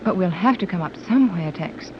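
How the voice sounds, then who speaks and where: A woman's voice: lowish voice, animated, a little fast, lightly acted. Actress as earnest woman explorer, noisy old radio. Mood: earnest, practical. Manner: articulate, earnest, questioning. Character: thoughtful, fearless woman leading shipwrecked explorers. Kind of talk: 1930s radio serial acting. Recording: dull, noisy old 1930s radio recording.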